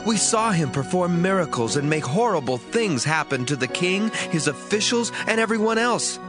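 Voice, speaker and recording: A man's voice: high voice, expressive, moderate pace. An actor playing a reverent Israelite father who teaches his children their history in a solemn, emphatic dramatized scripture reading. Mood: reverent, earnest. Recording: music under the voice.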